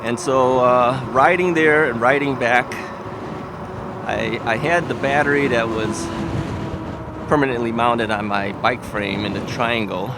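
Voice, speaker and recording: An older man talks in a loose, rambling vlog chat, full of fillers and quiet chuckles. His voice sits at an ordinary middle pitch and sounds a little stuffy and sniffly. He is recorded outdoors, with heavy car and street noise behind him. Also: fairly even intonation, measured pace. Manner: rambling and chatty, with frequent fillers and soft laughs. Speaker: e-bike vlogger. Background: loud traffic and street noise.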